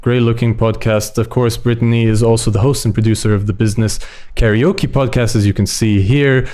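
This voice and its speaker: A man's voice: mid-range voice, fairly even intonation, a little fast, dark tone. A man co-hosting a podcast, introducing a guest enthusiastically while showing her website. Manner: upbeat, enthusiastic. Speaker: podcast co-host.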